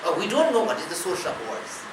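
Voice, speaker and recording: A woman teaching spirituality in a slow, measured way, stacking short phrases one after another. She sits far from the mic in a roomy space, with steady ambient noise behind her. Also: fairly low pitch. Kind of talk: spiritual discourse.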